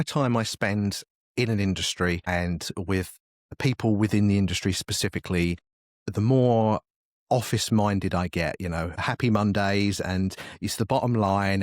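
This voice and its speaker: A man's voice: fairly low pitch, moderate pace, no echo, studio quality. A man being interviewed as a podcast guest, chatting casually, thinking aloud and rambling through examples. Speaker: podcast guest, podcast network manager.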